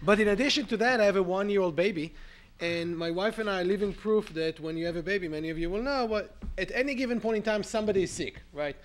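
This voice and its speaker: A man's voice: high voice, quick pace, slight background noise. Nasal, congested Israeli man lecturing. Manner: animated, frequent "right?" asides, self-deprecating. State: congested with a cold. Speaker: law professor panelist. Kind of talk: conference talk.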